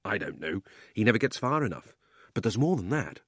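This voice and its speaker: A man's voice: on the low side, sing-song, brisk, a bit bright, no room sound, clean studio sound, full character voice. A man voicing a miserable, defiant young country boy in a storybook, wound-up and emphatic. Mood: brooding, mysterious. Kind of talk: storybook character reading.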